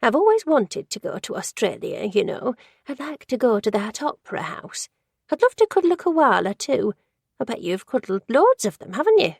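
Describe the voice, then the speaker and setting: A woman's voice: fairly high pitch, sing-song, normal speed, vocal fry, few pauses, a little mellow, breathy edge, no echo, studio quality, full character voice. A woman voicing a gossipy, judgmental older woman who works in an office, rambling and easily sidetracked in a storybook reading. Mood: excited.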